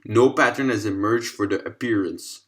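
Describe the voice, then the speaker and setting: Guy with a lowish voice reading out some formal science file, clinical narration. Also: normal speed. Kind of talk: scripted narration of a scientific document.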